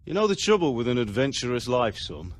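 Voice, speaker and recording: A man's voice: mid-range voice, sing-song, a little fast, no echo, natural voice. A man playing a dead blacksmith father, stern and wise. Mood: advising. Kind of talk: video game cutscene acting. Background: music score.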